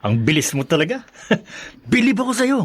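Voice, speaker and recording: A man's voice: high voice, big pitch swings, normal speed, husky, heavily acted. A man playing a teasing, scheming buddy in a Filipino radio drama. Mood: chummy, sly. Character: teasing, scheming male buddy, falsely chummy.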